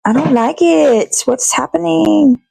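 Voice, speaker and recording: A woman's voice: sits high, slow, creaky, dark tone, no echo, poor audio. An American woman hosting casually from her kitchen, chatting in an informal, rambling, sweary way as she cooks. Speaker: host of a morning show. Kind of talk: casual chatty narration while cooking. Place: indoors in a home kitchen.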